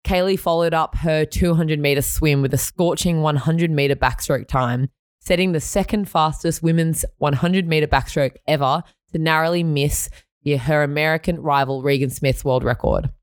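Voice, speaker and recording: An Australian woman co-hosting a sports podcast, a little croaky after a weekend, bright and chatty. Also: low-pitched, moderate pace, no room sound, clean studio sound. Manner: bright and chatty, laughs often. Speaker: podcast co-host. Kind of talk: sports news podcast reading and chat.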